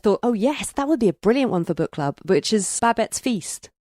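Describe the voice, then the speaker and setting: A woman's voice: fairly high pitch, lively intonation, moderate pace, darkish tone. A woman hosting a podcast, chatting casually about books and putting questions to her guest. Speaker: podcast host, interviewer. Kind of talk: casual book chat.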